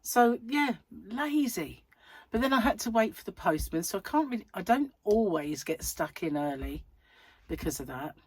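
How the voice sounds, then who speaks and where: Croaky, husky woman chatting. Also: medium pitch, animated, normal speed, a little mellow, dry recording. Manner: rambling, chatty, self-mocking. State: croaky voice from a cold. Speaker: crochet channel host. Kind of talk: casual chatty vlog. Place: at home, seated in a chair.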